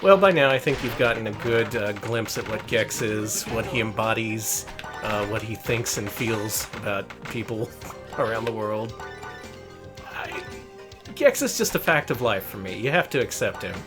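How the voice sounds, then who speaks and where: A woman's voice: extremely low pitch, expressive, moderate pace, over music. A woman co-hosting a retro game stream, giving dry joking commentary. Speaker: stream co-host. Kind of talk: casual game stream banter.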